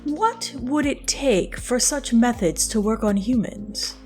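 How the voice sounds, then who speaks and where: A woman's voice: a little high, takes it slowly, darkish tone, faint music behind. A woman playing a determined, guarded necromancer posing as a professor, direct and insistent, in an audio story. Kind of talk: scripted audio story acting.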